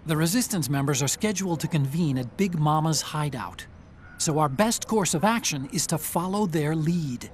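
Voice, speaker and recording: An actor playing an earnest, brainy tech ally in a video game, giving clear, urgent mission briefings. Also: male voice, a little high, expressive, normal speed, a little hiss or hum, natural voice.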